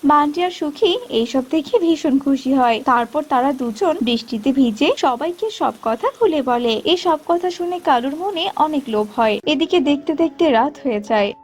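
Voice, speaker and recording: Very high-pitched woman storyteller. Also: expressive, normal speed, few pauses, darkish tone. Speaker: narrator. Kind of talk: scripted cartoon storytelling narration.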